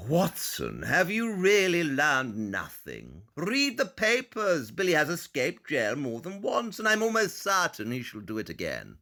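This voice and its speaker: Casting: superior analytical detective, bright, forceful, very lively intonation, audio drama. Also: male voice, on the high side, measured pace, vocal fry, few pauses, heavily acted. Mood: exasperated. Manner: precise, declarative, condescending. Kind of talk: audio play acting.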